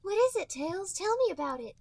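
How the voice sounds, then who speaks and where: A woman's voice: extremely high pitch, very animated, a little fast, gravelly, a little mellow, full character voice. A woman voicing a sweet, frank young cartoon rabbit girl in an exaggerated girlish way for a mock-dramatic reading. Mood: eager. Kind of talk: mock-dramatic fan fiction dialogue reading.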